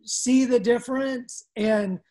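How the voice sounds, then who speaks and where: A woman's voice: on the high side, takes it slowly, warm tone. Reflective woman from rural Georgia. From rural South Georgia, US. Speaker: discussion participant. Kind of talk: reflective personal sharing in group discussion. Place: at home on a video call.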